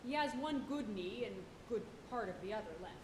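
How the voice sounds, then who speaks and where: A woman's voice: animated, brisk, natural voice. A woman playing a stern, cautious whaling first mate, with formal, theatrical delivery on stage. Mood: grave, brooding. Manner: formal, commanding, rebuking. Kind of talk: theatrical stage acting, Shakespearean diction. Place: college auditorium stage, live performance. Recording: echoey theatre stage, rumbling background noise.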